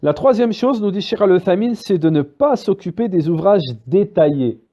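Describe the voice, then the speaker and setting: A man gives a spoken religious lesson in a fairly high voice. He is lively and often stops on fillers while he explains. The sound is dull and lo-fi. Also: normal speed. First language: Arabic-Islamic terms mixed into French. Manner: lively, acts out dialogues, many fillers. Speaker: religious teacher. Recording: dull lo-fi recording.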